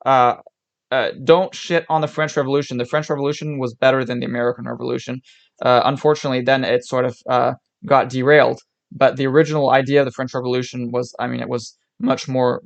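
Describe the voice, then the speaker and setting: A young man from Switzerland reacting to videos on a live stream, talking in rambling bursts with restarts and laughs. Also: mid-range voice. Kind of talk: casual reaction commentary.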